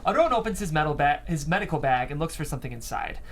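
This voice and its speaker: A fairly high male voice reads scene headings and action lines as scripted narration. There is faint noise in the background of the table read. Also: animated, fast talker. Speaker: stage directions reader.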